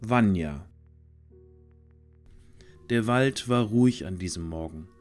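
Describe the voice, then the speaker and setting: A man narrating a scripted fantasy audio drama in a measured storytelling manner. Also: lowish voice.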